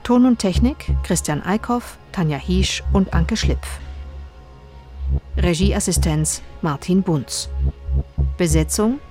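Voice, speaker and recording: A woman announcer reads scripted radio credits. Her voice is very mellow and somewhat low, with faint music behind it. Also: slow, long pauses.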